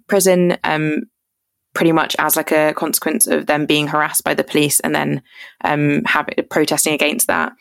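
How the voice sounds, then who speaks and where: Lowish-voiced young southern English woman, closely recorded. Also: creaky, dry recording, clean studio sound. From Surrey, England (born in Jamaica). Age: 20s. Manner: rambling, earnest, peppered with "like". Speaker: podcast guest, activist and writer. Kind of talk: casual reflective interview talk.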